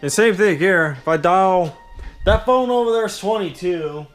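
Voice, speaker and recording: Young male hobby vlogger, slightly high voice, informal rambling tour narration, some music behind him. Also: normal speed. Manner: informal, rambling, addresses viewers as guys. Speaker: hobbyist video host. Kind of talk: casual show-and-tell walkthrough.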